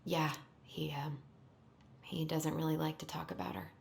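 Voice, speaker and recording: A soft-spoken young woman in a game drama, rather low in pitch. She sounds earnest and determined yet hesitant, in a roomy space with faint background ambience. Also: flat delivery, moderate pace, creaky, a little hiss or hum. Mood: subdued. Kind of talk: naturalistic game cutscene dialogue.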